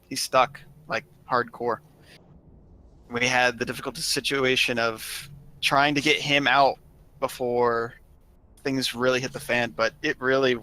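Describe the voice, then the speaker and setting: A man's voice: mid-range voice, bright, forceful tone, poor audio. A man telling a story in a casual group chat as one of a gaming crew.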